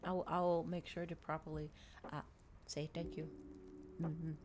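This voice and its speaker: A woman's voice: sits low, little pitch movement, a little slow, background noise. A woman hosting a livestream, chatting casually with viewers in a rambling way, often repeating and restating her phrases. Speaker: VTuber livestream host.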